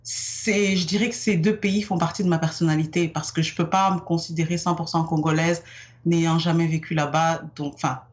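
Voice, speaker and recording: A woman's voice: deep voice, steady tone, normal speed. A young woman from France, guest on an interview podcast, answering candidly with many fillers. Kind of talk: casual interview.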